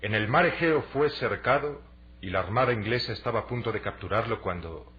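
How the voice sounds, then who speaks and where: A man playing an earnest young adventurer in a Latin American Spanish radio drama, explaining things deliberately and persuasively. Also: lowish voice, expressive, fairly bright tone. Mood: explanatory. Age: young adult. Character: earnest young athletic adventurer selling a treasure hunt. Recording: dull, lo-fi radio drama recording.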